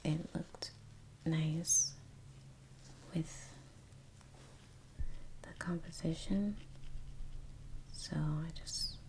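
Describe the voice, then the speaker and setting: Soft whispery asmr lady, kinda flat n creaky, halting casual chat, takes her time. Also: on the low side, poor audio. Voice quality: breathy, often whispery. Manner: hushed, halting, unhurried. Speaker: ASMR artist hosting a painting session. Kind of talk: soft-spoken ASMR, casual musing while painting.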